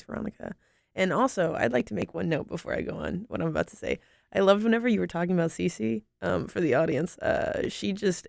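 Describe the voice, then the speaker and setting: A woman's voice: medium pitch, vocal fry, darkish tone, no room sound, studio quality. A young woman from North Carolina, a podcast guest, chatting enthusiastically and rambling with lots of "like"s. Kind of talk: casual conversation.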